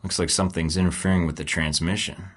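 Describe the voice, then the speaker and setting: An actor voicing a confident young American secret agent in a video game, with a wry, cocky way of delivering dry one-liners. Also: male voice, low-pitched, fairly even intonation, quick pace, a bit bright. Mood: casual. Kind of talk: game voice acting.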